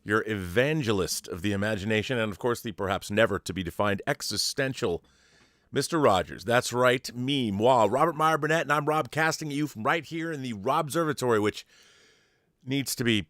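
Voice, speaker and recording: A man talks as the host of a fan show, theatrical and playful in his delivery. His voice sits at a middling pitch, and the sound is dry, with little room around it. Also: a little fast, fairly bright tone, no room sound. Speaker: host of a pop culture show. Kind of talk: showy scripted-sounding intro and casual fan discussion.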